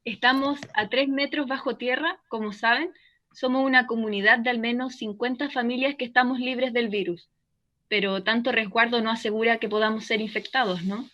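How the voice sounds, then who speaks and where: A woman's voice: on the high side, steady tone, moderate pace, fairly bright tone, lo-fi sound, close to an everyday voice. An actress playing a veteran woman newsreader calling in from an underground bunker, explaining herself firmly in a radio drama. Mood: worried. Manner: firm, explanatory, defends her past work. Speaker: journalist and former newsreader.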